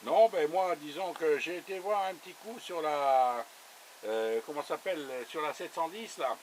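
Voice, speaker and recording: Slightly high-voiced man, casual radio operator. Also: moderate pace, a little hiss or hum. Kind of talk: casual radio contact chat. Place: radio station with a camera running.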